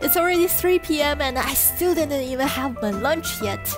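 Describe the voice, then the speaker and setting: A high-voiced woman chats casually for a vlog. She is playful and given to exclaiming, with music running behind her. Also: lively intonation, normal speed. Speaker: vlogger. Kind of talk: casual vlog chat and narration.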